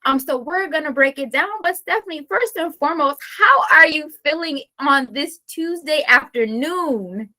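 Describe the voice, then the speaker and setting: High bright-voiced lady host on a video call, super enthusiastic, gushing. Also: expressive, normal speed, hardly any pauses. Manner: enthusiastic, gushing, praises God. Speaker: host of a faith-based women's show. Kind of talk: interview hosting.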